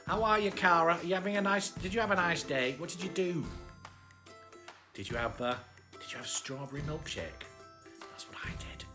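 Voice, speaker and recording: A woman's voice: on the low side, normal speed, pauses often, over music, lightly acted. A woman voicing a small, cheerful, chatty armadillo who hosts a children's bedtime story livestream. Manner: chatty, exclaiming greetings, giggly.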